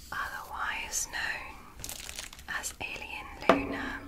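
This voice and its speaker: A woman doing a whispered ASMR roleplay as a gentle alien medical examiner, speaking in a soothing, deliberate and reassuring manner. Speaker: ASMR performer.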